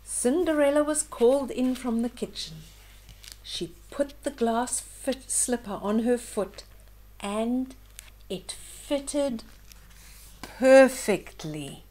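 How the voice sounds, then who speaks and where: An older woman reading a fairytale aloud to children in an expressive way, with simple explaining asides to young listeners. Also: on the high side, slow, rough recording. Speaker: children's storyteller, host.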